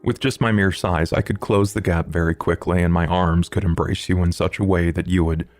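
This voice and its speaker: A man in a tabletop game playing a huge old vampire who speaks formally, with measured calm and quiet threat. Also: low-pitched, lightly acted. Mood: quietly menacing. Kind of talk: tabletop roleplay in character. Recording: music bed under the voice.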